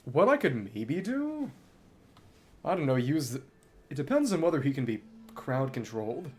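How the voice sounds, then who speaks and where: A man's voice: medium pitch, big pitch swings, normal speed. A man doing live gameplay commentary as a streamer, talking analytically through tactics to himself. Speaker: game streamer. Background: music behind the voice.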